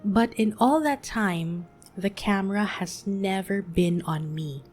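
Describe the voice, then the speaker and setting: Slow, soothing woman in her late thirties. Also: medium pitch, faint music behind. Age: 30s. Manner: gentle, soothing, unhurried. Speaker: podcast host. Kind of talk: soft-spoken reflective monologue.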